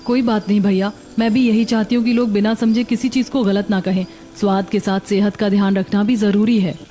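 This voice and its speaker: A woman's voice: medium pitch, little pitch movement, brisk, dark tone, close to an everyday voice. A woman playing a patient, honest young daughter-in-law who runs a food stall in a cartoon. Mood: gracious. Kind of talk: cartoon dialogue acting. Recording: background music under voice.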